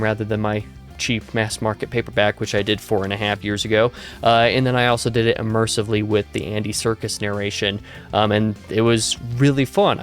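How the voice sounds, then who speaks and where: A hoarse man with a weak, scratchy voice hosting a casual book review vlog, chatty and rambling with lots of fillers and self-corrections. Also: mid-range voice, no echo, studio quality. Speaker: host.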